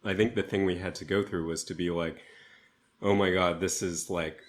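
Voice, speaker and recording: A man speaking reflectively as an interview guest, with thoughtful, deliberate phrasing. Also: low-pitched, steady tone. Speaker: interview guest, theatre artist.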